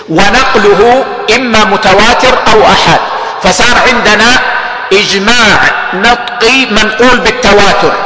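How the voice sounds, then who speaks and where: A man explaining a classical text line by line, repeating key phrases and sometimes raising his voice for stress. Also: high-pitched. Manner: repeats phrases for emphasis, frequent "yes" as a cue to go on; raises voice to stress a point. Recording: echoey hall.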